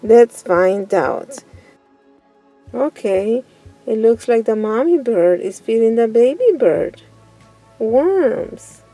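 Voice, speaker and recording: Female children's storyteller; expressive, slow, simply worded picture-book narration with faint music behind. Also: fairly high pitch. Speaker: storytime host.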